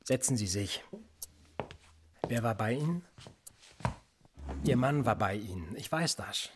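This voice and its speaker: An actor playing a cold, precise police interrogator in a radio play, pedantic and insinuating. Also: male voice, on the low side, lively intonation, measured pace, background noise. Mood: probing. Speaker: police officer interrogating a suspect.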